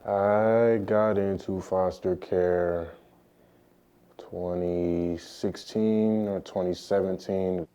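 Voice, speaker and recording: A young man in his early twenties with a very low, dark and relaxed voice. He talks about himself as if in an interview, reflective and halting, taking his time. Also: clear, resonant tone. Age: early 20s. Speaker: youth who aged out of foster care. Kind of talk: personal interview testimony.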